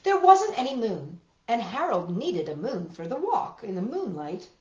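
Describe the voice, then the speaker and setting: A middle-aged woman hosting a children's storytime, with an expressive, storytelling way of talking, recorded in a living room. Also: fairly high pitch. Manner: animated, expressive, deliberate phrasing when reading. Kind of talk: storytelling for children, talk and picture-book reading. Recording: roomy echo, dull lo-fi sound.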